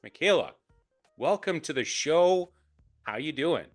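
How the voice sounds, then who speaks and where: A man's voice: a little high, animated, unhurried, soft background music. A man hosting a sports podcast, introducing his guests with enthusiastic, upbeat delivery. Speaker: podcast host. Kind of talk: casual interview.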